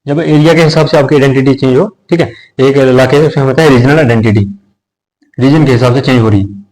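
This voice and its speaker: A man's voice: medium pitch, quick pace, darkish tone, poor audio. A man teaching a social studies lesson in a casual, explanatory way, often repeating himself and checking in with his students. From India. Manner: conversational, repeats phrases, checks in with listeners. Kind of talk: explanatory lecture.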